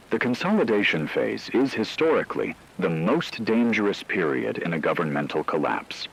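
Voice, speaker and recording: A man delivering scripted news analysis on the radio in a measured, formal manner. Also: low-pitched, slightly rough, rough recording.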